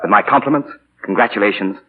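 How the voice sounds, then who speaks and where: A man's voice: fairly low pitch, quick pace, slightly rough. An actor playing a smug, insinuating young man with mocking, falsely courteous manners in 1940s radio drama. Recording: muffled, scratchy 1940 radio broadcast.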